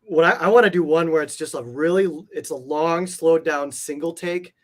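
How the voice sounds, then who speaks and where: A man's voice: a little high, moderate pace. A man chatting casually as an interview guest, with informal, loose phrasing. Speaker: interview guest, comic art collector. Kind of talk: casual chat.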